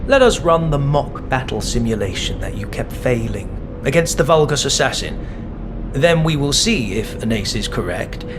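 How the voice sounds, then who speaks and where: A man's voice: mid-range voice, expressive, natural voice. Man acting as cold, exacting military scientist over music. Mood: cold, lecturing. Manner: measured, lecturing, condescending. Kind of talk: scripted video game voice acting. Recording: music behind the voice.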